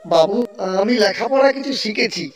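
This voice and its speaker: Earnest jobless village youth, played by a man, high voice, plaintive, cartoon drama, slightly distant with music. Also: lively intonation, a little slow. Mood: pleading. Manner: pleading, plaintive. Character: earnest, frustrated jobless young village son. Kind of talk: Bengali cartoon story dialogue.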